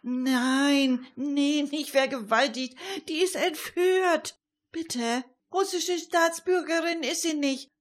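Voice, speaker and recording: A woman's voice: sits high, slow pace. A woman playing a fretful but resourceful amateur detective in a radio play, chatty and full of exclamations. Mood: earnest, fretful.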